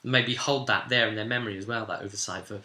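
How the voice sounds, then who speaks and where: A man's voice: lowish voice, steady tone, fast, pushed and bright. A man, a game master, gives a casual, rambling recap of a tabletop role-playing session. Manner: rambling, chuckling storyteller who acts out dialogue. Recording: echoey room sound.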